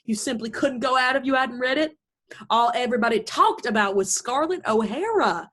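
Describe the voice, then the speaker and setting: A woman's voice: a little high, lively intonation, normal speed, fairly bright tone. A woman playing a domineering, prim middle-aged Southern mother in a stage play reading, theatrical and chattering. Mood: gushing, coaxing. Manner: theatrical, indignant, gushing.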